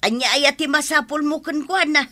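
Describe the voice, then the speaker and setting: A woman's voice: high-pitched, fairly quick, sharp tone. A woman with an Ilocano accent playing a blunt, fed-up, scolding villager in a comedic radio drama. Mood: matter-of-fact.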